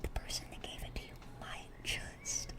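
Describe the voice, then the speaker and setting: A woman's voice: normal speed, lightly acted. Woman playing a whispering dorky sweet demon girl. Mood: earnest, enthusiastic. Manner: gentle, rambling, earnest, affectionate. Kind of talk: whispered ASMR roleplay.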